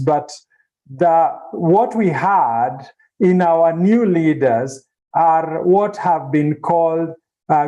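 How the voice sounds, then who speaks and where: A man giving spontaneous academic remarks in a discussion, reflective and halting, with hesitant pauses. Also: a little high, mellow, poor audio. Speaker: symposium speaker, book editor. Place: online video symposium.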